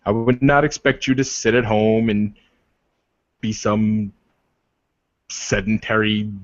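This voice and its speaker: A man acts out a blunt male werewolf in a tabletop roleplay. The voice is lowish and emphatic, halting as he lays out his terms with exasperation. The recording is slightly dull. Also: steady tone, dry recording. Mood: earnest, firm.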